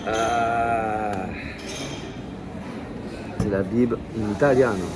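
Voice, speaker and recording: A man's voice: lowish voice, steady tone, drawn-out words. A man from France chatting casually in a travel vlog, hesitant and full of fillers and restarts. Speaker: vlogger. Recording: loud crowd noise behind.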